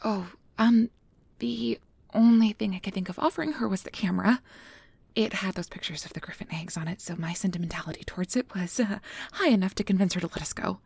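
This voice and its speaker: Woman acting nervous girl scientist in asmr fantasy roleplay, soft stammery earnest, bit of fry in the voice, super dry close mic. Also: mid-range voice, a little fast, dry recording. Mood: sheepish, explaining. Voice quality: breathy, soft. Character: nervous, earnest, stubbornly principled woman field researcher of magical creatures. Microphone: close mic, dry recording.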